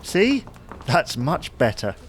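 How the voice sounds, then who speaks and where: A man's voice: fairly high pitch, wildly swooping pitch, slow, a little hiss or hum, heavily acted. A man playing a reluctant, stubborn husband, grumbling in dry, mild protest in a domestic comedy audio drama. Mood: defensive. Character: reluctant, stubborn, henpecked husband with poor taste.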